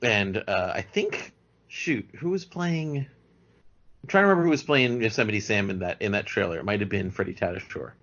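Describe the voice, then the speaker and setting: A man's voice: lowish voice, lively intonation, moderate pace, a bit bright. A man speaking as a podcast guest in casual, knowledgeable fan chat. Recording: dull lo-fi voice recording.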